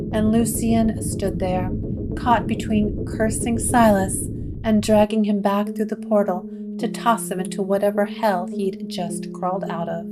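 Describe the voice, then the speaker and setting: A woman narrating a scripted gothic horror story in a measured, dramatic storytelling manner. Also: medium pitch.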